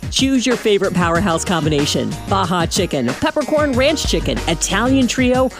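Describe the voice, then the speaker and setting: A woman's voice: mid-range voice, sing-song, moderate pace, over music. A woman reading an upbeat scripted commercial as a voice-over.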